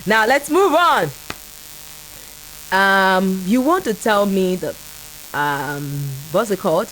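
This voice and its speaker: A woman with a Nigerian accent hosting a call-in radio French lesson, laughing often and slowly repeating phrases for listeners to copy. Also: medium pitch, very animated, a bit bright, a little hiss or hum, rough recording. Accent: Nigerian English. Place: radio studio.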